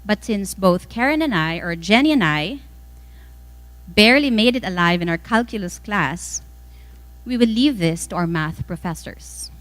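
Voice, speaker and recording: A woman with a Filipino accent reading a formal scripted speech as a guest speaker, measured and emphatic phrase by phrase. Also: mid-range voice, expressive, a bit bright. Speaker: guest speaker, former mayor.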